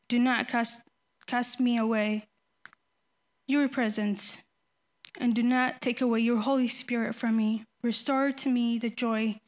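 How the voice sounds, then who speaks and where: A young woman from Ethiopia giving a nervous, halting personal faith testimony before her baptism, often restarting her sentences. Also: a little high. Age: young adult.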